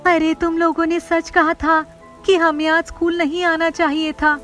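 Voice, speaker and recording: A high, anxious village schoolchild from a cartoon moral tale, acted out by a woman. The child speaks earnestly and worriedly, with music behind. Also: little pitch movement, measured pace, fairly bright tone. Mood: anxious, urgent.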